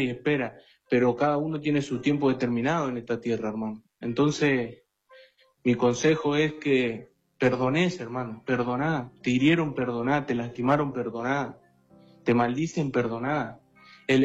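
A man, preaching and testifying earnestly, repeating phrases as he addresses the listener. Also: medium pitch. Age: 20s. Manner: earnest, repetitive, often addressing listener as brother. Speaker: guest lay preacher. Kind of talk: personal testimony and exhortation. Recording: dull lo-fi sound, faint music behind.

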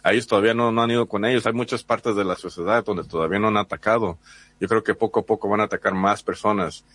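A man's voice: fairly low pitch, steady tone, quick pace, a bit bright. A man from the United States with a Mexican accent, a reporter answering interview questions hesitantly, with many fillers and restarts.

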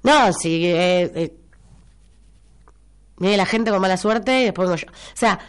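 A woman's voice: medium pitch, unhurried, clean tone. A chatty young Argentine woman hosting a morning radio show while congested, her nose stuffed up and a cough breaking through now and then. She talks expressively and loops back to repeat her lines for emphasis. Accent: Argentine Spanish. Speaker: radio host. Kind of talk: casual morning radio chat reading listener messages. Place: radio studio.

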